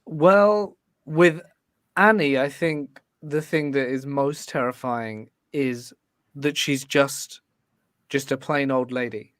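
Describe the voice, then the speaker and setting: A man's voice: mid-range voice, a bit bright, clean tone, no echo. Man arguing steadily on a dry mic. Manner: measured, building an argument. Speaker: debate guest, podcast host. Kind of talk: casual podcast debate.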